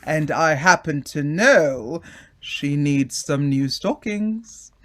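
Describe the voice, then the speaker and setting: An actor playing a condescending, chummy upper-class gentleman in an old-time radio drama, bluff and exclamatory. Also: on the high side, lively intonation, takes it slowly. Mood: bluff, bossy.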